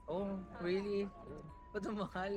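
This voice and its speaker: A man's voice: high voice, little pitch movement, a little slow. A young man in his twenties with a Filipino accent, joking around in casual chat with friends at a cafe. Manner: playful, joking banter mixing Tagalog and English. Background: background music.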